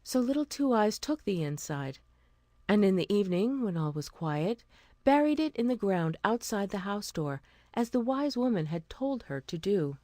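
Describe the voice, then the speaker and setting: A woman narrating a scripted fairy tale as a storyteller, expressive and deliberate. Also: medium pitch, dry recording, clean studio sound.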